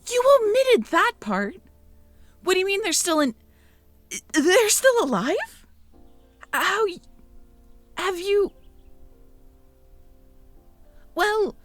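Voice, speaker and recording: A woman acting a caring, teasing, curious young girlfriend in an intimate roleplay audio drama, talking softly and conversationally to the listener. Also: very high voice, no room sound, full character voice. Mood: astonished, excited. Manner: soft, intimate, conversational, playful.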